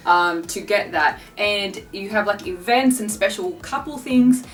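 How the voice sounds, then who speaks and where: A woman hosting a casual, chatty vlog in an animated storytelling way. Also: mid-range voice, unhurried. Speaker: vlog host. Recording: roomy, distant mic with faint music.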